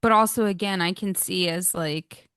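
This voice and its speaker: A woman's voice: fairly low pitch, moderate pace, fairly bright tone, smooth, no echo, clean studio sound. A woman co-hosting a podcast, talking casually and sympathetically in a hesitant, conversational way. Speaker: podcast co-host. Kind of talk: casual discussion.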